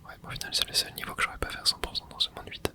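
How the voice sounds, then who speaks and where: A host whispering casual ASMR commentary over gameplay, reacting excitedly and chatting to viewers. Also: very fast. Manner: casual, chatty, self-correcting. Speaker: channel host.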